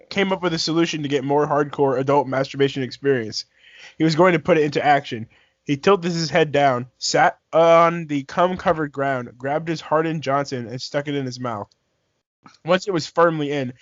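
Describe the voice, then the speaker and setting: A man's voice: a little high, no echo. A young man casually reading a story aloud to friends, stumbling over lines and repeating them. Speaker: amateur fan fiction reader. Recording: dry, muffled lo-fi voice chat.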